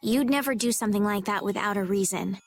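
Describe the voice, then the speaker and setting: A woman playing a gentle, sincere, idealistic young noblewoman in a dubbed anime game, polite and earnest. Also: mid-range voice, quick pace, soft background music, lightly acted. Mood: warm resolve.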